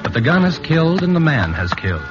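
Male announcer for vintage radio drama: animated, sweeping delivery of scripted narration, dull old recording. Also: a little high, fast talker. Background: music.

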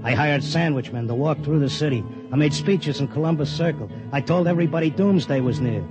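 An actor playing a stubborn, streetwise horse-racing clocker who narrates in a plain, wry, tough-talking way in a 1950s radio drama. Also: male voice, fairly high pitch, a little fast, lightly acted. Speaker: narrator and lead character. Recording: dull 1950s radio broadcast with music.

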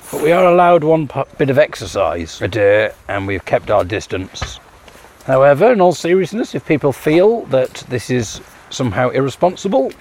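A man hosting a podcast as casual chat while out on a walk, joking and punning in a wry manner. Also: lowish voice. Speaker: podcast host. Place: outdoors on a street. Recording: loud street and traffic noise.

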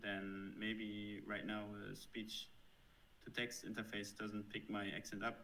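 A man's voice: lowish voice, level tone, slow, bright, forceful tone, a little hiss or hum, low-quality recording. A man in his thirties with a German accent, answering interview questions thoughtfully, with frequent fillers and restarts. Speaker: software developer guest.

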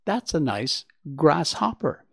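A man reads as a mother deer in a storybook. She is calm and patient, explaining gently. The intonation is very varied and the sound is dry. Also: fairly high pitch, slow, gravelly, husky, no room sound, full character voice.

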